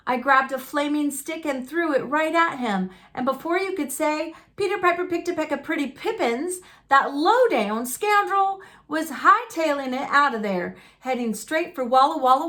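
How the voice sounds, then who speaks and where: A woman reading a children's picture book aloud as a storyteller, in an expressive, folksy and dramatic manner. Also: high voice. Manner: folksy, dramatic, deliberate delivery.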